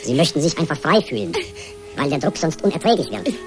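An actress playing a malfunctioning counselling machine that insistently recites formulaic therapy phrases in a radio drama. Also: female voice, low-pitched, big pitch swings, fairly quick, music in the background. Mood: relentless. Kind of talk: satirical radio drama acting.

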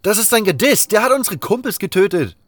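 Man playing a high, shouting bandit guard. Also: lively intonation, fairly quick, dry recording. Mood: hostile shouting. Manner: shouting threats. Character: aggressive, threatening male bandit gate guard.

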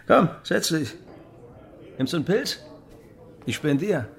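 An actor playing a boastful, hard-drinking East German secret police lieutenant in a radio drama, crude, condescending and tipsy. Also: male voice, a little high, sing-song, measured pace, vocal fry, full character voice. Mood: needling, probing. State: drunk.

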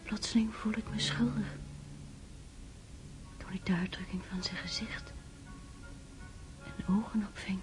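An actress playing a gentle, grieving sister in a literary radio drama, speaking in a measured, solemn, restrained way. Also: female voice, mid-range voice, fairly even intonation, whispered, over music, close to an everyday voice. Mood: sorrowful, reflective. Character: Maria, grieving gentle sister of a dying man.

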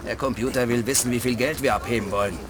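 An actor playing a nervous, impatient petty crook, curt and hushed with his partner, in a children's radio drama. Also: mid-range voice, fast, natural voice. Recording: noisy street with traffic behind.

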